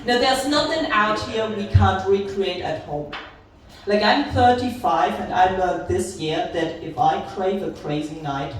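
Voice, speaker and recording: A woman's voice: mid-range voice, a little slow, slight background noise. A woman in her thirties with a German accent, performing dry, self-deprecating stand-up comedy at a live venue. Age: 30s. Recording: echoey venue, distant mic, crowd.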